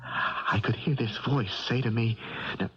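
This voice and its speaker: An actor playing a troubled, earnest prizefighter haunted by a dream, halting and intense in a 1970s radio drama. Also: male voice, mid-range voice, a bit bright, natural voice. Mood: earnest recounting. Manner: halting, repeating words, intense, colloquial. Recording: dull vintage radio recording.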